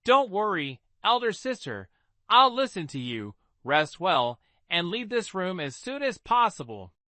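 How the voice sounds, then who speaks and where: A spiteful, sharp-tongued young noblewoman, high and shrill, swinging wide in pitch, as a man reading the tale puts her on. Her voice is bright and crisp in a dry, close recording. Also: sing-song, measured pace, dry recording, heavily acted. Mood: falsely sweet. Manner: shrill angry outbursts.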